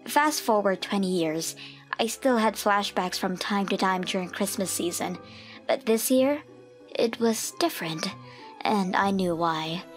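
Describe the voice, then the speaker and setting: A woman's voice: mid-range voice, a little slow, natural voice. A woman playing an expectant mother who narrates her own past in a scripted comic dub, over music. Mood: reflective, hopeful.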